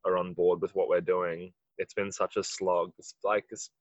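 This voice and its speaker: A musician with a husky voice, answering interview questions in a rambling way, full of "like". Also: male voice, low-pitched, flat delivery, moderate pace, dry recording, dull audio. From Australia. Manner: rambling, heavy use of "like". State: husky from late rehearsal. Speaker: musician in a band.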